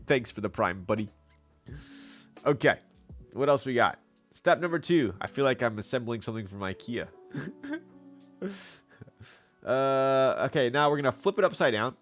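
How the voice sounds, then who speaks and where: A man's voice: mid-range voice, big pitch swings, measured pace, pauses often, soft background music. A man hosting a casual live stream, talking with viewers while he builds something. He sounds congested with a stuffy nose, and he is chatty and laughs often.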